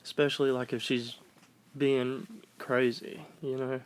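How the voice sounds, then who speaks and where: A man's voice: mid-range voice, flat delivery, a little slow, clear, resonant tone, poor audio. A young man, co-hosting a casual podcast in a plain-spoken, easygoing way. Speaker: podcast co-host. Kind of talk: casual chat.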